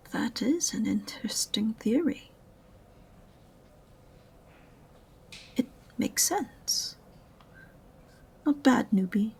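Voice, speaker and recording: A woman playing an unenthusiastic, sarcastic witch librarian in an audio roleplay, speaking softly and breathily with a condescending, exasperated manner. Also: mid-range voice, vocal fry, husky, exaggerated voice. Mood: grudgingly intrigued. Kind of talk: audio roleplay acting addressed to the listener.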